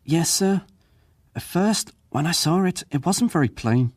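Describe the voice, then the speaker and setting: A man's voice: a little high, animated, dry recording, clean studio sound. Earnest, plain-spoken ship's crewman. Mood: deferential, careful. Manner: plain-spoken, deferential, measured. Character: earnest working ship's crewman testifying at an inquiry. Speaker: witness. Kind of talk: dramatized reading of historical testimony.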